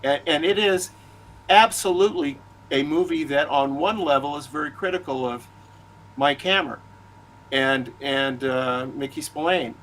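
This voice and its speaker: A man who is a guest author in a casual discussion, speaking haltingly and often repeating words as he gathers his thoughts. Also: a little high, a bit bright, slight background noise, rough recording. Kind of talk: casual discussion of film and writing.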